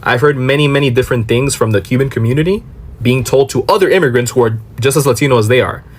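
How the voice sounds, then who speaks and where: A man's voice: mid-range voice, quick pace, breathy edge. An American man from Miami delivers explanatory commentary in a mid-range voice. He is emphatic, setting up rhetorical questions and then answering them in a direct-to-camera style. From Miami, Florida. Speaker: commentator on a social media reaction video.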